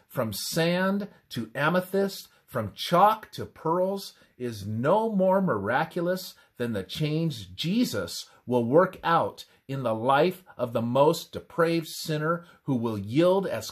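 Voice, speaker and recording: A man reads a scripted religious text aloud in a measured, deliberate manner, pausing between phrases. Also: a little high. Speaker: reader of a religious presentation. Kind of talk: scripted devotional narration, read aloud.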